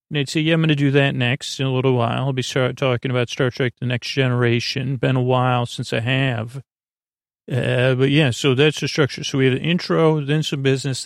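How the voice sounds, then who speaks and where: A man hosting a bedtime sleep podcast in a meandering, halting monologue, interrupting himself with false starts, droning gently and reassuringly. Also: creaky, dry recording, clean studio sound. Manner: low-pressure, reassuring, droning on purpose.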